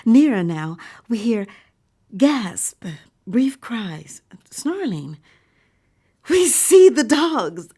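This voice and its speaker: Breathy, hushed horror storyteller. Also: female voice, on the high side, very animated, exaggerated voice. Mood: tense excitement. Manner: deliberate, breathy delivery slipping into whispers. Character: dog-loving visitor narrating in a collective "we", naive, turning horrified. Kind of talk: dramatic first-person horror story narration for audio drama. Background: music score.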